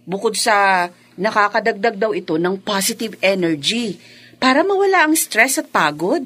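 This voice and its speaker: An actress playing an eager, chatty adult daughter in a Filipino radio drama, speaking emphatically and conversationally. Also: female voice, medium pitch, lively intonation, moderate pace, fairly bright tone, husky. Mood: eager, reassuring.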